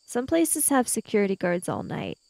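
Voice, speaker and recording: A woman's voice: mid-range voice, huge pitch swings, creaky, darkish tone, no room sound. A woman chatting casually and playfully as she improvises as a player in a tabletop roleplaying game. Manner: playful, quick to joke.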